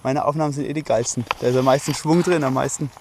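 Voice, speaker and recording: A man's voice: medium pitch, fairly even intonation, quick pace. A man chatting casually and jokingly while filming himself outdoors at a windy lookout. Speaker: traveler filming a trip. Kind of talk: casual vlog chat.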